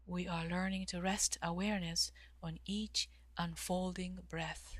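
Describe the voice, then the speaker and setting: A woman leading a guided meditation in a calm, measured way, with long pauses and soft, breathy phrase endings. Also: on the low side, no echo. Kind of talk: guided meditation, slow instruction.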